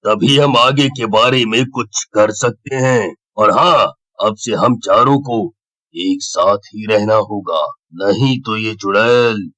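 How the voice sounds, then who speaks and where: A man voicing a grave, sensible occult leader in a horror cartoon, explaining things in a measured, deliberate way. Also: lowish voice, pushed and bright, rough recording. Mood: grave, cautioning. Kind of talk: Hindi horror-cartoon voice acting.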